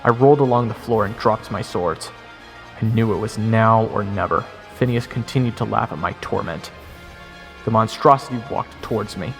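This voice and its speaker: A man's voice: lowish voice, moderate pace, vocal fry, a bit bright. A man giving first-person dramatic scripted narration as the hero of a fantasy audio roleplay. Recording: long reverb, music under the voice.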